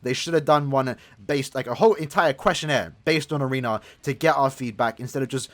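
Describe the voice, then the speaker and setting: A man's voice: medium pitch, a little fast. A man in his twenties giving casual, rambling gameplay commentary as a gaming YouTuber, chatting to his viewers. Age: 20s.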